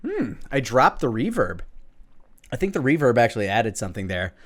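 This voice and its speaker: A man's voice: medium pitch, huge pitch swings, normal speed. A man giving casual, self-critical commentary on his own video, thinking aloud as he goes.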